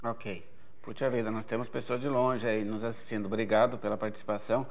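A man's voice: lowish voice, level tone, a little fast. A man in his forties from Brazil, answering viewer questions as an agronomy researcher in a careful, explanatory way with many hesitation fillers, recorded at an event stage. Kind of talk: expert Q&A answers.

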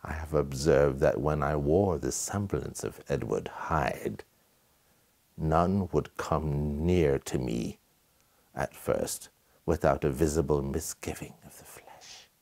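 A middle-aged man playing a haunted, sinister Victorian doctor in a grave, theatrical gothic monologue with a husky voice. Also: very deep voice, slow pace, darkish tone, exaggerated voice. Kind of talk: dramatic monologue from gothic fiction.

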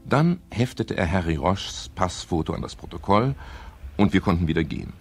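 Gravelly, low-voiced private detective. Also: male voice, expressive, normal speed, slight background noise. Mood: reflective narration. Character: wry male private detective, chivalrous, world-weary. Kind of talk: 1970s German radio crime drama acting and narration.

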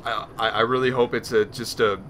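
A man's voice: medium pitch, level tone, fairly quick, a bit bright, a little hiss or hum. A man giving casual let's play commentary, rambling nervously and talking to himself as he plays. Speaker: gaming video host.

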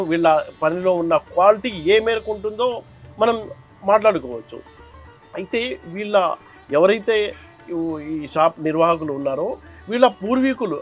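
A man speaks in a fairly high register. He delivers as a reporter, presenting and questioning, with music heard behind his voice. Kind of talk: explainer narration and street interview.

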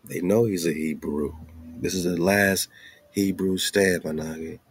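A man's voice: deep voice, steady tone, a little slow, slightly rough, no echo, clean studio sound. A man hosting a show, reading aloud and commenting casually with informal, slangy asides. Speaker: radio show host.

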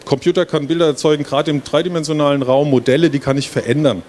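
A man's voice: fairly high pitch, fairly even intonation, fast, a little mellow. A middle-aged man giving an informal, explanatory presentation into a handheld microphone on a stage. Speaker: game developer presenting. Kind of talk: presentation to an audience. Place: stage at a book fair hall. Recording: clear background noise, fair ambience. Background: trade fair hall ambience.

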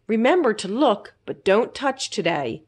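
A woman's voice: medium pitch, very animated, unhurried. A woman voicing an upbeat, caring female primary school teacher giving encouraging instructions in a storybook. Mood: instructive.

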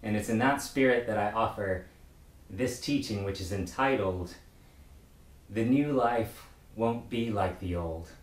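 A middle-aged man, a pastor, delivers a prepared sermon in a measured, reflective way. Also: fairly low pitch. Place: book-lined room indoors. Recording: roomy echo, distant microphone.

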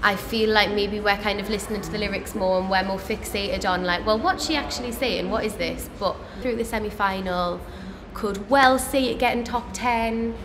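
A woman's voice: mid-range voice, moderate pace, hardly any pauses. A young woman, co-hosting and chatting casually, thinking aloud with lots of hedges. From Gateshead, northeast England. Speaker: livestream co-host. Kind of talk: casual panel chat. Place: indoor shop space with flower backdrop. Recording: reverberant room with steady noise.

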